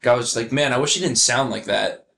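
A man's voice: medium pitch, fast, vocal fry, pushed and bright, a little hiss or hum. A man hosting a podcast in an easygoing, conversational way. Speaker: podcast host. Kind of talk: casual podcast chat.

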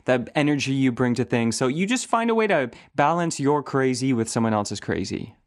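A man hosting a podcast interview, playful with quick laughing asides. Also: medium pitch, animated. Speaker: podcast host. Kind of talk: casual interview chat.

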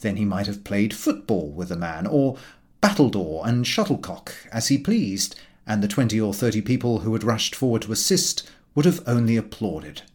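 A man's voice: lowish voice, animated, normal speed, darkish tone, slightly rough. A man reading a comic novel aloud as scripted audiobook narration.

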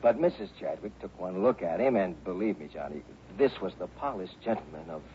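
A man's voice: deep voice, lively intonation, fairly quick. An actor playing a harried, chatty American insurance company man in a 1960s radio drama, agitated and exasperated as he talks. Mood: animated, gossipy. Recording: muffled, hissy old radio broadcast.

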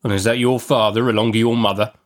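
A man voicing a rough, threatening convict in a storybook reading, growling and barking commands. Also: on the low side, steady tone, fast talker, close to an everyday voice. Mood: menacing, brooding. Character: menacing ravenous escaped male convict, rough and threatening.

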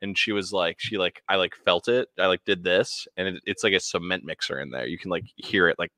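A man's voice: deep voice, brisk, pushed and bright, slight background noise. A man, chatting casually with lots of swearing and stumbling restarts. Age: 20s. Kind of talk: casual chat.

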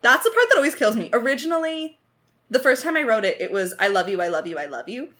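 A woman's voice: on the high side, sing-song, fast, fairly bright tone. A woman chatting casually in a creator Q&A, effusive and playfully dramatic, rambling along in slangy speech. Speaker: lead writer and voice actor of an audio drama.

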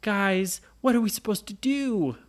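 A woman's voice: unhurried, natural voice. A woman voicing a sweet, eager young girl who coaxes and gushes with praise. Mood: sweet, pleading. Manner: coaxing, gushing, high and breathy praise.

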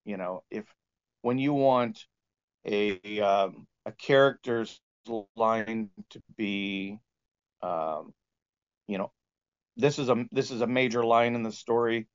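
Smooth-voiced man explaining in an interview, dull recording. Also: lowish voice. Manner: halting, explanatory. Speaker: composer and sound engineer. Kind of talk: informal interview answers. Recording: dull lo-fi audio.

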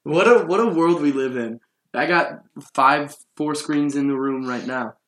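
A man's voice: on the high side, measured pace, fairly bright tone. A man chatting casually as a podcast host, informal and wryly joking. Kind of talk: casual chat. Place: room in an apartment. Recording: roomy, echoey sound.

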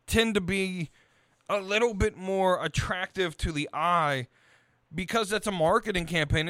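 A male podcast host with a fairly high, smooth and clear voice. He talks casually and opinionatedly, blunt and quick to swear. The sound is dry and close. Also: fairly bright tone, no room sound. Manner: blunt, profane.

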